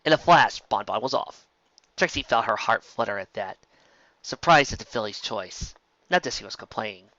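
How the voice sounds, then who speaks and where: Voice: mid-range voice, animated, moderate pace, fairly bright tone, no room sound, highs cut off. A narrator reading scripted fan fiction as an audiobook, in a storytelling style.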